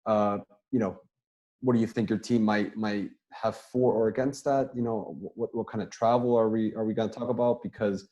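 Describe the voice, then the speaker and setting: Relaxed low-voiced man on a video call. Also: normal speed. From United States. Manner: relaxed, rambling answers with many repeated words. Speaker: guest soccer player. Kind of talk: casual interview over video call.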